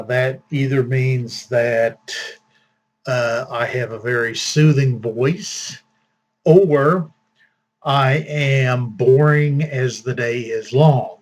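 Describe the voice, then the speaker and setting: A man hosting his own channel, giving casual news commentary in a slow, deliberate way with fillers and long pauses. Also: mid-range voice. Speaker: vlog host.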